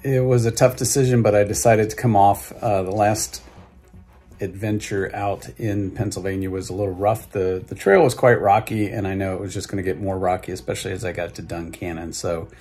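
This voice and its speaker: An older man with a lowish, mellow voice talks straight into a close phone camera in his living room. He speaks in a halting, casual way, full of fillers and restarts, as a soft music bed plays faintly underneath. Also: steady tone, breathy edge. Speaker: hiking vlogger. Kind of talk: casual update to viewers. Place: living room at home, indoors. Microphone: phone or webcam at close range.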